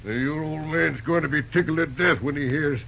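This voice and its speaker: Casting: surly railroad shop villain, radio serial. American, gravel-throated, slightly high, mocking, slangy, muffled broadcast sound. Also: male voice, normal speed, a little hiss or hum. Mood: surly, grudging. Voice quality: gravelly, raspy. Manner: sneering, folksy slang. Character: surly, scheming railroad master mechanic, mocking and obstructive. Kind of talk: old-time radio drama acting. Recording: dull, lo-fi old radio broadcast recording.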